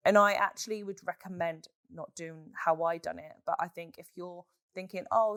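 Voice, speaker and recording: Hoarse female podcast host, frank and animated, low-ish voice, dry room. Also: dry recording. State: hoarse from a chest infection. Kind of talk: solo podcast Q&A, casual chat.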